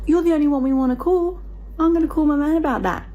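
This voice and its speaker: A woman's voice: sits high, quick pace, mellow, highs cut off. A woman making an emotional, pleading direct appeal in a repetitive, insistent way. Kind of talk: emotional direct-to-camera social media appeal.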